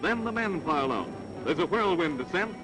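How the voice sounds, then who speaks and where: A male newsreel announcer from the United States, his voice pitched somewhat high and driven with stirring emphasis. He reads a patriotic script in vintage style. Loud music runs under him throughout the old recording. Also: lively intonation, normal speed, low-quality recording. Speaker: newsreel narrator.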